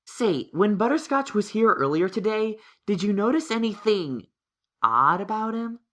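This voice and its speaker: A woman's voice: mid-range voice, animated, moderate pace, fairly bright tone, close to an everyday voice. A woman voicing a studious young male unicorn pony in a cartoon-style audio story, dry and pensive. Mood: thoughtful.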